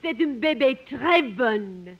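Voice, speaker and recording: Slow-speaking, high-voiced French nursemaid. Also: female voice, expressive, full character voice. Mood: sweet, obliging. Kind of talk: 1950s radio comedy acting. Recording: muffled vintage radio broadcast.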